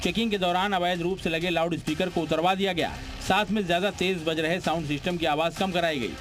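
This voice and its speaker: High-pitched man narrating news over music. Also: fairly even intonation, a little fast, a bit bright. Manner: dramatic, punchy delivery. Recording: music bed behind the voice. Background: prominent background music.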